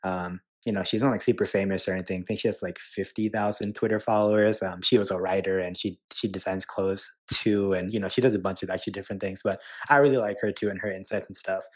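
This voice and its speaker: A man in his twenties answering casually for a podcast, relaxed and hesitant with fillers. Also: low voice, fast, hardly any pauses. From United States. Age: 20s. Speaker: podcast guest.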